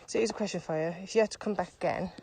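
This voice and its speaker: A woman's voice: fairly low pitch, rapid-fire, mellow. A woman co-hosting a casual, reflective podcast chat, asking questions conversationally and slightly out of breath as she walks. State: slightly out of breath from walking uphill. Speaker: podcast co-host. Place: outdoors, walking through a pine grove in Morocco. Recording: clearly noisy outdoor walking recording.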